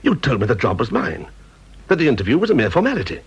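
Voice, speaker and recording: A British actor in a radio drama playing a middle-aged, stern, exacting scientist boss, clipped, formal and terse. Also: male voice, medium pitch, wildly swooping pitch, fast, slightly rough, heavily acted. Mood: anxious. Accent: British English. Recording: dull, lo-fi old radio recording.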